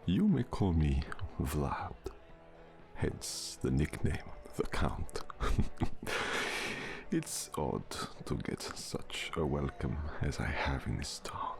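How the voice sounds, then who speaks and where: A man playing an ancient, courtly vampire count, formal, suave and often breathy, in an intimate ASMR roleplay. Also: very deep voice, huge pitch swings, slow pace, darkish tone, heavily acted. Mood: suave, amused. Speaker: voice actor. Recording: music behind the voice.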